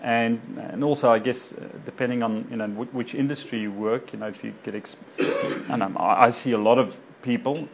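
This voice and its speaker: A man's voice: medium pitch, fairly even intonation, a little fast, vocal fry, hardly any pauses. Guy, a doctor, chatting to a crowd, lots of "you know" and restarts, bit of background hum. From South Africa. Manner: rambling, many fillers and self-corrections. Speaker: doctor speaking to a local community group. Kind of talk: informal personal talk to an audience.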